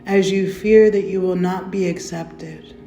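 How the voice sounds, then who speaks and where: A woman leading a guided meditation in a gentle, soothing, unhurried way, softly inviting the listener to breathe. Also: on the low side, fairly even intonation. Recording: roomy echo with faint music.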